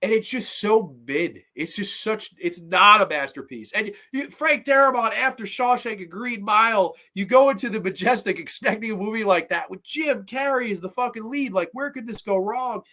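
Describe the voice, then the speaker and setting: Stuffy young guy ranting about horror movies on his stream, bright voice, kinda high, swears a bunch. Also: normal speed, hardly any pauses. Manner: rambling, opinionated, swears casually, reads chat comments aloud. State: congested with a slight cough. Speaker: host of a horror movie review livestream. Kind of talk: casual livestream review chat.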